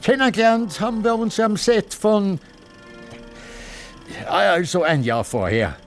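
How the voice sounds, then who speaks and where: An actor playing a forgetful, petty old Austrian film director, rambling and halting in a radio drama. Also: male voice, high-pitched, animated, faint music behind, exaggerated voice. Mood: confused, halting. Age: older. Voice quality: halting, hesitant delivery. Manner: confused, rambling, self-satisfied.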